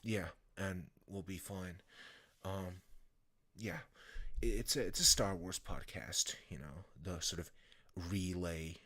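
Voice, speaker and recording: A man chatting casually to viewers on a live stream, rambling and halting with lots of fillers and restarts, sounding tired. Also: low voice, sharp tone.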